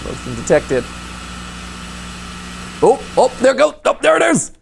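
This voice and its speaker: A man's voice: extremely high pitch, huge pitch swings, a little slow, vocal fry, slight background noise. Guy hosting a reaction show, jokey off the cuff commentary, casual chat. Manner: playful, joking. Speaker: host.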